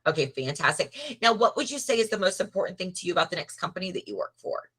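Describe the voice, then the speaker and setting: A woman recruiter running a video job interview, upbeat and quick to praise with "great" and "fantastic". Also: on the low side, sing-song, sharp tone, husky. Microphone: video call.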